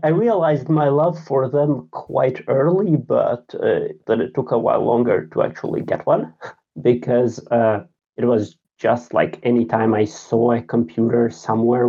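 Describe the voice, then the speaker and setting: A man in his thirties from Latvia, a game designer talking about himself in a casual podcast interview, halting and self-deprecating. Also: mid-range voice, vocal fry, warm tone, breathy edge, lo-fi sound. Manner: halting, many filler sounds, self-deprecating. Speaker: game designer and programmer, guest.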